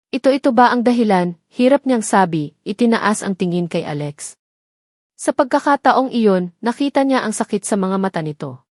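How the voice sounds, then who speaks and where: A woman's voice: medium pitch, a little mellow, dry recording, studio quality. A woman reading a scripted dramatic story as its narrator.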